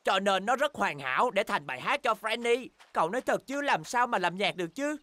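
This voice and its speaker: An eager, high-pitched cartoon bear. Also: male voice, expressive, fairly quick, bright, forceful tone, no echo. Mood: enthusiastic. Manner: exclaiming, enthusiastic. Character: cheerful young bear pals, eager and boastful. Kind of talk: children's cartoon dub.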